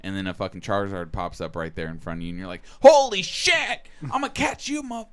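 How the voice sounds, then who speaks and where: A man's voice: sits low, very animated, brisk, fairly bright tone, clean tone. A man chatting casually with friends as a podcast co-host, rambling enthusiastically with plenty of slang and swearing.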